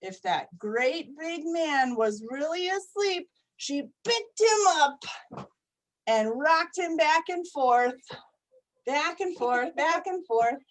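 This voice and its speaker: A woman reading a children's story aloud in a gentle, repetitive storybook cadence. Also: sits high, lively intonation, slow, poor audio.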